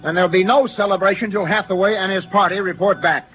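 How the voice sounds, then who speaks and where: An actor playing a stern, commanding spaceship captain in a 1950s radio drama, speaking curtly. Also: male voice, sits high, steady tone, fast, faint music behind, lightly acted. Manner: curt, authoritative. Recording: tinny old radio broadcast.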